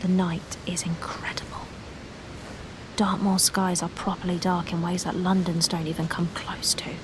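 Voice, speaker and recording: An actress playing an observant, wonder-struck city woman in an audio drama, describing things in a hushed way. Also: on the low side, flat delivery, normal speed, noisy background, lightly acted. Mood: awed, hushed. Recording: music behind the voice.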